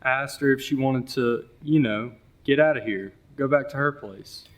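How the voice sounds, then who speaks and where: A man's voice: mid-range voice, normal speed, lightly acted. A young man playing a cocky, oblivious college student in a readers theater monologue, talking in casual slang. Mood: smug, casual. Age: young adult.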